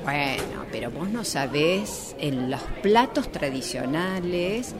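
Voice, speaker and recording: A woman's voice: low-pitched, slow, over music. A woman with an Argentine accent playing an earnest, insistent cooking teacher in an amateur radio drama. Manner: insistent, emphatic. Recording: lively crowd noise behind.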